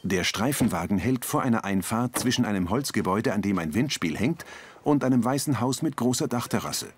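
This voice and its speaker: A man's voice: medium pitch, lively intonation, brisk, a little hiss or hum. A man reading terse scripted scene descriptions as an audio description narrator.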